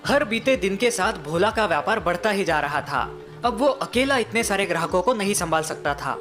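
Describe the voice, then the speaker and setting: A woman's voice: medium pitch, animated, moderate pace, a bit bright, faint music behind. A woman giving scripted narration of a children's moral story.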